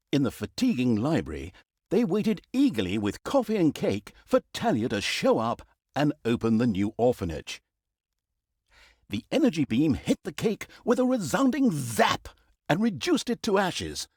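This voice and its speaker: Theatrical, sardonic game narrator, swooping delivery. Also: male voice, on the high side, huge pitch swings, unhurried, darkish tone, husky, no room sound, studio quality, exaggerated voice. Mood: mock-grand. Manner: wry, mock-dramatic, sardonic. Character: wry, mischievous male storyteller mocking an evil fantasy saga. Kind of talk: theatrical comic storybook narration.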